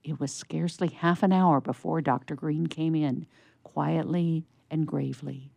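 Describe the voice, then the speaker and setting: A woman narrating a scripted story for radio theatre in a measured storytelling manner. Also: deep voice, steady tone, creaky, dark tone, no room sound.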